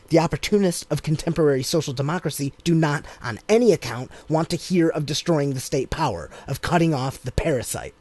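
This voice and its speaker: A man's voice: a little high, a little mellow, no room sound. Man reading an audiobook in a dry voice. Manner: deliberate, emphatic reading. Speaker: audiobook reader. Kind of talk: audiobook narration of a political text.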